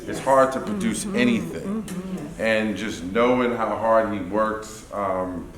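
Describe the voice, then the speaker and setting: A man's voice: on the low side, slow pace, crowd noise. A man speaking as producer at a theater talkback, chatty and rambling, aware he can get long-winded. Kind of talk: casual post-show talkback remarks. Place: theater event space, indoors. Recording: echoey room, faint background noise.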